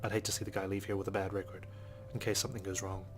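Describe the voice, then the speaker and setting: A man's voice: low-pitched, flat delivery, rapid-fire, background noise. A man reading a visual novel's narration aloud as a let's play host. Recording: game music behind the voice. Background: game music.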